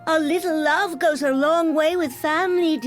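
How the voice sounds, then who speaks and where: A woman's voice: high-pitched, fairly even intonation, moderate pace, soft background music, close to an everyday voice. A woman voicing a kindly, motherly little female yordle for a video game, affectionate, cooing and whimsical. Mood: gently consoling. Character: kindly, motherly, cheerful female yordle, a small magical creature. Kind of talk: video game champion voice lines.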